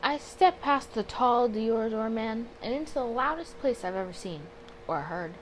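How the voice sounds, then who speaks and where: Higher-voiced woman chatting through a game playthrough. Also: lively intonation, normal speed, low-quality recording. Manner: chatty, rambling, self-interrupting and apologetic. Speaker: host of a gaming channel. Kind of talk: casual let's play commentary with read-aloud visual novel text. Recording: home recording with background noise.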